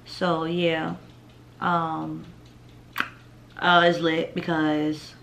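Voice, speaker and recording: A young woman in her twenties with a deep voice, chatting bluntly and profanely through a Q&A vlog at home. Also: little pitch movement, extremely slow, gravelly, a bit bright, slight background noise. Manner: blunt, profane, laid-back with laughs. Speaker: vlogger answering viewer questions. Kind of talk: casual Q&A vlog. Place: living room at home, indoors.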